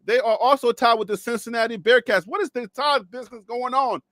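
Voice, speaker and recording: High-voiced joking man with a dry sound. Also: a little fast, a bit bright, no room sound. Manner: rambling, joking, laughs often, talks to viewers. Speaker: sports show host. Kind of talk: casual solo sports talk with jokes.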